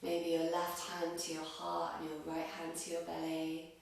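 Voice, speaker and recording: A woman teaching yoga nidra, guiding a meditation gently and unhurriedly in short phrases with pauses between them. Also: fairly low pitch, monotone, vocal fry. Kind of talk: guided meditation, slow soothing instruction. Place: reverberant room, recorded from a distance. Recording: very echoey room, distant microphone.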